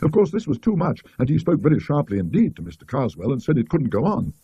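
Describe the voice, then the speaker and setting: A man's voice: a little high, sing-song, quick pace, dark tone, husky, no room sound, highs cut off, exaggerated voice. A British man voicing an indignant, gossipy country lady in a storybook, emphatic and disapproving. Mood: appalled.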